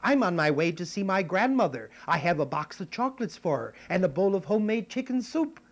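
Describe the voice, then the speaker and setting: Man playing a high, sing-song storybook girl, polite and cheerful. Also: sing-song, brisk, heavily acted. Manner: bright, sing-song, wide-eyed.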